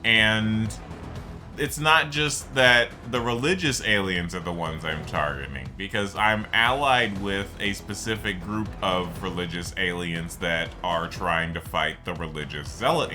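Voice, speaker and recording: Male gameplay host, very unhurried delivery with a crisp bright edge, talking through strategy off the cuff. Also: on the low side. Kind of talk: unscripted game commentary.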